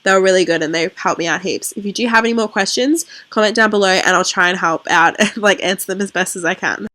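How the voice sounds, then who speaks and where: A woman's voice: mid-range voice, fast, sharp tone, slight background noise. A young Australian woman with a congested, nasal voice, chatting casually through a makeup review and peppering her talk with "like". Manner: chatty, filler-heavy with frequent "like". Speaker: beauty vlogger.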